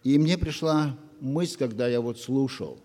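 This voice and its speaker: A man preaching a sermon to his congregation, earnest and full of pauses. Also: medium pitch, dark tone. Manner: slow, earnest, with long pauses. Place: church hall. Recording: long reverb of a church hall.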